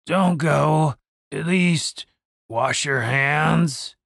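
A man voicing a half-asleep bearded cleric who mumbles slowly in a gravelly, husky voice. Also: fairly high pitch, a bit bright, no room sound, clean studio sound, exaggerated voice. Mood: groggy. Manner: slow, mumbling.

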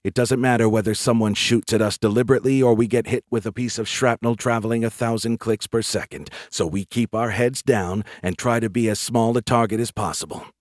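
A man's voice: lowish voice, fairly even intonation, brisk, few pauses, no room sound, close to an everyday voice. A blunt woman soldier, read by a male narrator, practical and short on patience. She bosses people in a close, dry audiobook recording. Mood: lecturing. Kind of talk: audiobook character voice.

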